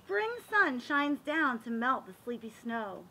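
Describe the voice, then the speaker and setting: A woman reading a children's picture book aloud in an expressive, animated way, as if showing it to young listeners. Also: on the high side, unhurried, rough recording. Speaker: read-aloud reader for children.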